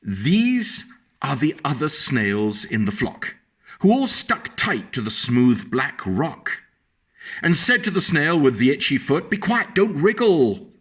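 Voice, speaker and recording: A man reading a picture book aloud to children, slowly and gently, stopping often to point out the pictures. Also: medium pitch, big pitch swings, husky.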